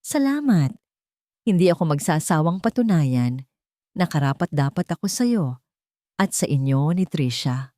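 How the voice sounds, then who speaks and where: A woman's voice: low-pitched, animated, a little slow, pauses often, mellow, dry recording, studio quality. A woman voicing a devoted, remorseful husband and young father in an earnest, tender, emotional way.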